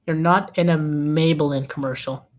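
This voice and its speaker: A man's voice: on the high side, steady tone, moderate pace, close to an everyday voice. A man playing an exasperated, practical amateur cameraman in a comedic table read. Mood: deadpan.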